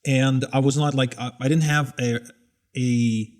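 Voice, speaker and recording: A man in his thirties tells stories in relaxed conversation. His pitch sits at a middling level. He is frank and self-deprecating, and he laughs at his own early blunders. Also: a little slow. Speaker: interviewee sharing business mistakes. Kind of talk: casual conversation.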